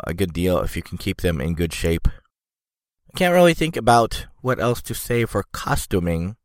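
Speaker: congested man, rambling. Pitch: low. Sound: dry. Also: no echo. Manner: meandering, lots of "you know" and "like". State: stuffed up, congested. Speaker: podcast host. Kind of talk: casual rambling monologue.

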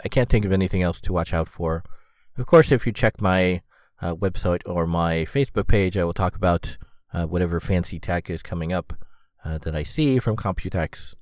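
Deep-voiced man with a sniffly cold. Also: a little mellow, no room sound, studio quality. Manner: rambling, halting with frequent fillers. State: sniffly head cold, throat a bit off. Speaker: podcast host. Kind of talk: casual solo podcast monologue.

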